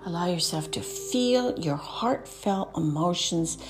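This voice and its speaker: A woman with a low, soft voice leads a guided meditation, often dropping to a whisper. She speaks slowly and soothingly, with music playing clearly underneath. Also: lively intonation. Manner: slow, soothing, unhurried prompts.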